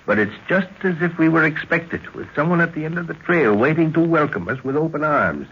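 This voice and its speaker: An actor playing a middle-aged professor and explorer, fatherly and measured, speaking formally in an old-time radio drama. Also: fairly high pitch, lively intonation, breathy edge. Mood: worried. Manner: formal, deliberate. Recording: muffled, hissy old radio recording.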